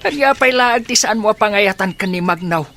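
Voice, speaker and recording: A man's voice: high-pitched, animated, fairly quick, pushed and bright, soft background music, full character voice. A man with an Ilocano accent playing a domineering, greedy father in a radio drama, bossy, curt and insistent. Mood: pushy, scolding.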